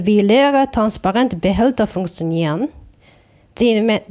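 A woman from Japan with a Japanese accent, giving reflective interview answers in a thoughtful, halting way with self-corrections. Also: mid-range voice, warm tone. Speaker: interview guest, artist.

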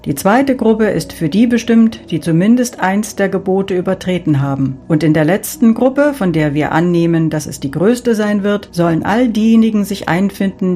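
A woman voicing Saint Peter, a brisk gatekeeper. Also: medium pitch, expressive, lightly acted. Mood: announcing. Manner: loud, brisk announcing. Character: Saint Peter, bustling older male gatekeeper.

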